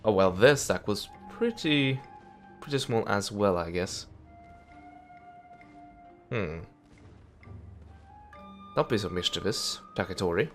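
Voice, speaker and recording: Nasal stuffy-nosed man, low voice. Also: slow, pauses often, faint music behind. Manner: chatty, muttering to the game, mild swearing. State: stuffy nose. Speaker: gameplay commentator. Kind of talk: let's play commentary.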